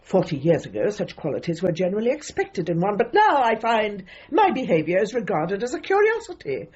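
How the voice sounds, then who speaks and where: An actress playing an opinionated older British dog trainer, a widow who speaks formally and precisely in a radio comedy drama. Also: female voice, mid-range voice, wildly swooping pitch, fairly quick, darkish tone, husky, muffled recording. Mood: indignant, world-weary. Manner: formal, emphatic, precise, old-fashioned phrasing.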